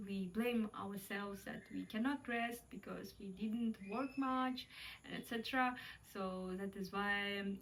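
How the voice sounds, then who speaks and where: A woman's voice: mid-range voice, distant mic, a little hiss or hum, low-quality recording. A young Kazakh woman with a Kazakh accent, talking casually and reflectively, hesitating often with fillers. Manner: hesitant, many fillers, light laughs. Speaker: vlogger.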